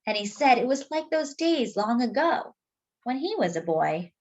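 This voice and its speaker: A woman reading a picture book aloud to children, expressive and deliberate, dropping in chatty asides. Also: on the high side, muffled recording. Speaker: children's story reader.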